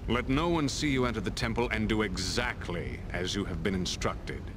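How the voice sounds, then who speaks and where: An actor playing a sinister, commanding villain's lieutenant in a fantasy game, speaking in a measured, imperious way. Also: male voice, on the low side, background noise, close to an everyday voice. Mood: cold, commanding. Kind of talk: fantasy video game cutscene acting.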